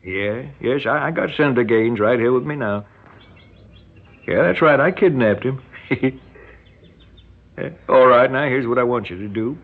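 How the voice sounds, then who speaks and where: A man's voice: mid-range voice, a little slow, long pauses. An actor playing a folksy, menacing rural kidnapper and father with a Southern American drawl in a radio drama. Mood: folksy, threatening. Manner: drawling, folksy, colloquial talk. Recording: dull lo-fi radio recording, hissy background noise.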